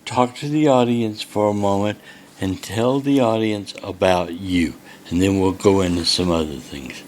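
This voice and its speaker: A man in his sixties hosting a podcast, asking questions with halting starts and fillers. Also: low-pitched, a little hiss or hum. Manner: halting, many uhs and restarts. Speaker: podcast host. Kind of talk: casual podcast interview.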